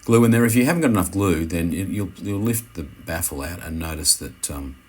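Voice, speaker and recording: A man giving an unscripted how-to commentary as an instructor, speaking in a halting way and often correcting himself. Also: low-pitched, lively intonation, breathy edge. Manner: hesitant, halting, self-correcting.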